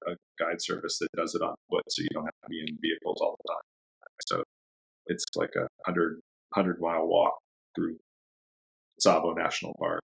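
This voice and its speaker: Deep gravelly-voiced man chatting easily. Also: normal speed, long pauses, low-quality recording. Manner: relaxed and rambling, laughs often. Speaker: dog trainer guest. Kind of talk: casual interview chat.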